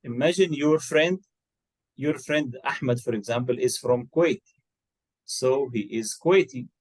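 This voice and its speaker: A man's voice: medium pitch, unhurried, darkish tone. Patient man teaching English. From Egypt. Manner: patient, coaxing, repeats and corrects answers. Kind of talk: one-to-one online English lesson.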